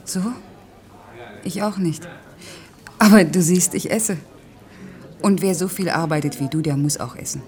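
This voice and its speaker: An actress playing a headstrong, defensive working-class woman in a naturalistic radio play, curt and dryly self-mocking, with a husky voice. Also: fairly low pitch, unhurried, pauses often, darkish tone, natural voice. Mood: evasive, casual.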